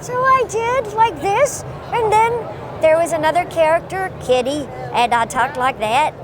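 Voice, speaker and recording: High-voiced woman at a noisy convention. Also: a little slow, a crowd in the background. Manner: lively, warm storytelling with playful voice bits. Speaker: voice actor guest. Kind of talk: casual interview chat. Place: busy convention.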